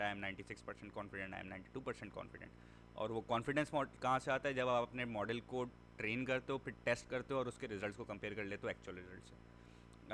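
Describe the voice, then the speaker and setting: A man's voice: lowish voice, a bit bright, a little hiss or hum. A man teaching a machine learning class in a conversational way, mixing in English words and repeating points for emphasis. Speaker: instructor. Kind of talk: classroom lecture.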